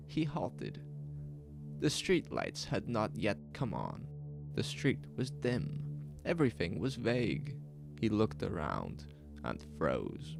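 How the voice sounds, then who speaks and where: A man narrating a scripted horror audio drama in a deliberate, suspenseful storytelling manner. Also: fairly low pitch, gravelly. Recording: music behind the voice. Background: music.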